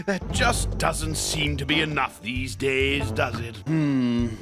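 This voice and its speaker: A man's voice: medium pitch, slow pace, music in the background, close to an everyday voice. A man voicing a scheming, pompous crocodile tyrant in a cartoon, with theatrical grandeur and oily mock politeness. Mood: oily, falsely polite. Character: scheming, pompous cold-blooded crocodile tyrant, grown male villain. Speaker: cartoon villain. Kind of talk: theatrical animated-series villain acting.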